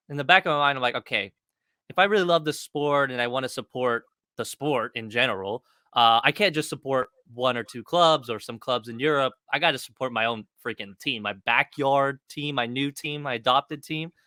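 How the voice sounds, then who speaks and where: A man from Argentina chatting casually as a guest soccer creator, animated, slangy and self-deprecating. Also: mid-range voice, clear, resonant tone, dry recording, studio quality. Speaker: guest, soccer content creator and fan streamer. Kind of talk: casual chat.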